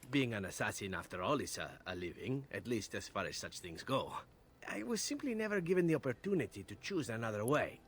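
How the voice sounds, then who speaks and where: An actor voicing a suave, easygoing assassin in a fantasy video game, with a wry, nonchalant manner. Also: male voice, mid-range voice, big pitch swings, fairly quick, fairly bright tone, slight background noise. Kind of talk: scripted video game dialogue.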